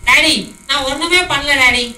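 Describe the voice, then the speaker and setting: A woman's voice: high voice, normal speed, sharp tone, voice pushed up. A woman voicing a mischievous young boy puppet who pleads in a childish, whiny way. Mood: pleading. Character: mischievous young boy Tinku, sneaky but eager. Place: prayer house hall. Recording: echoey hall, distant mic, noisy.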